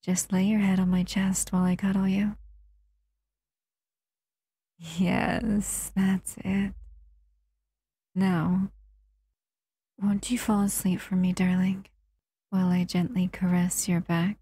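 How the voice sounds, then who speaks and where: A woman acts out a female shadow monster who is tender, possessive and protective. Her voice is soft, dark and husky, often dropping into a breathy whisper. It is close, dry ASMR roleplay, murmured slowly and soothingly. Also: mid-range voice, little pitch movement, no echo. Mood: tender, lulling.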